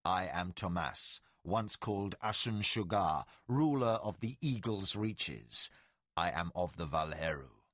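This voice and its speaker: A man's voice: sits low, expressive, unhurried, fairly bright tone, no echo, studio quality, pitched below the performer's own voice, full character voice. A man voicing an ancient, commanding male warrior of legend, grave and solemn.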